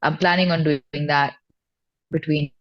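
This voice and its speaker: A woman's voice: low-pitched, fairly even intonation, unhurried, highs cut off. A woman with an Indian accent talking informally and effusively as a guest in a casual interview. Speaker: singer-songwriter guest.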